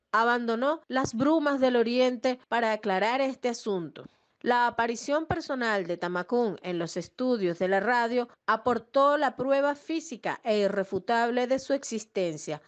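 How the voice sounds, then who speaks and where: A woman giving scripted documentary narration, reading an old press report in a measured, formal storytelling way. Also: on the high side.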